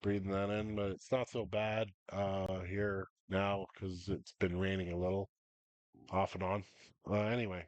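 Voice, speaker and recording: A stuffy-nosed man with a cough runs a tabletop game at a slow, unhurried pace. His voice sits low, and he rambles through recaps with plenty of ums. The sound is dry and close. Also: level tone, no room sound. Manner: rambling, many ums and uhs. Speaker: game master.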